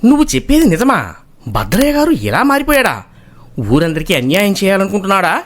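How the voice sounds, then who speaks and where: A man playing earnest, aggrieved village men in storybook dialogue, expressive and questioning. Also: high voice, a little fast. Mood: surprised, troubled.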